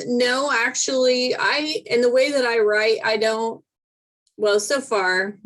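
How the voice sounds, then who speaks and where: A woman's voice: medium pitch, fairly bright tone, low-quality recording. A woman guest on a podcast, a teacher and comedian, talking casually and thoughtfully with many fillers and restarts. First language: English. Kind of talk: casual interview chat.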